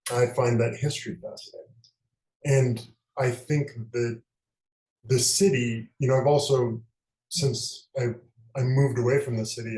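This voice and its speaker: A man from the American Midwest, a novelist, answering interview questions thoughtfully, with many fillers and pauses. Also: mid-range voice, darkish tone, echoey room, poor audio. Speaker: guest novelist.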